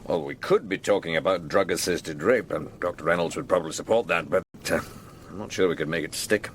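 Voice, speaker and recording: An actor playing a wry, deliberate senior police detective, a man questioning his team in a radio drama. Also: sits low, slight background noise, close to an everyday voice. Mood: dry, probing. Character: wry senior detective leading a case briefing.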